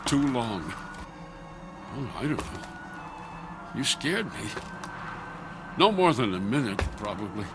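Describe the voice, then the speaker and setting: An actor playing an anxious, protective husband in a radio drama, with a halting, stammering, urgent delivery. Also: male voice, mid-range voice, very animated, music in the background. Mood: relieved, concerned.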